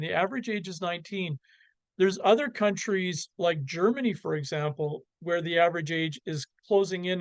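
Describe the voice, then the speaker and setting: Canadian man presenting, fairly high voice. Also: no echo. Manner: halting, many fillers and restarts. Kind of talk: slide presentation on economics.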